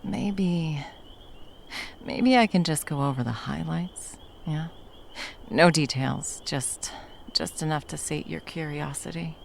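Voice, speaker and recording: Woman acting as slow-talking, low-voiced protective monster huntress. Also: vocal fry, a little hiss or hum, exaggerated voice. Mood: tender, hesitant. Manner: halting, coaxing, speaking to a beloved. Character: wandering female monster hunter, fiercely protective, tender lover. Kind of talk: intimate ASMR roleplay.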